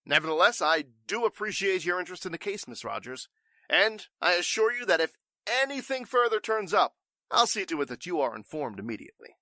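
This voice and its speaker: A man's voice: high voice, sing-song, brisk, bright, forceful tone, no echo, full character voice. A man playing a flustered, evasive warehouse owner in 1940s radio drama style, stammering and speaking with stuffy formality. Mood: polite, dismissive.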